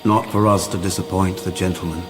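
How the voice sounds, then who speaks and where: An actor playing a menacing, depraved and volatile Victorian villain in a gothic radio drama. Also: male voice, low-pitched, fairly even intonation, brisk, over music, close to an everyday voice. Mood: sly, sinister.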